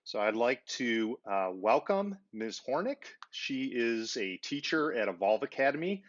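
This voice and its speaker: A man's voice: mid-range voice, a bit bright, highs cut off. A middle-aged man hosting a children's story time, explaining things informally and directly to young pupils. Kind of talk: informal educational talk to children.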